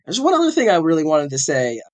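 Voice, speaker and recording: Man in his forties, podcast host, a bit sick, fairly high voice, rambling casual chat. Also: huge pitch swings, quick pace, a little mellow. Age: 40s. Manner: rambling, self-correcting, chatty. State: slightly sick, with a cough.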